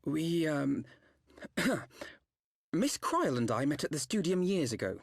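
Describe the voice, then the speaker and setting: Husky, prim boy prodigy. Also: on the high side, sing-song, takes it slowly, dry recording, clean studio sound, full character voice. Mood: embarrassed, stiff. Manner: formal, verbose, prim. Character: stiff, proper, youthful boy prodigy, earnest and self-serious. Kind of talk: fantasy video game acting.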